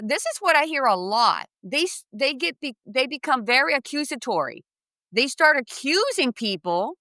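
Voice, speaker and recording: A woman's voice: on the high side, bright, forceful tone. A woman interviewing in a conversational way, echoing and affirming what her guest says. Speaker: podcast interviewer.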